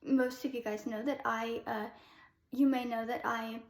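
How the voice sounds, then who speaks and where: High-voiced chatty woman, echoey room. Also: steady tone, unhurried. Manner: chatty, many ums, light laughs. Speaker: voice teacher, classical soprano. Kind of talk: casual vocal lesson.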